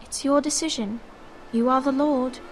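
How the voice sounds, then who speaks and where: Sweet worried young noble sister in a game, high soft voice, music under it. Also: female voice, steady tone, moderate pace. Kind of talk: dramatic video game acting.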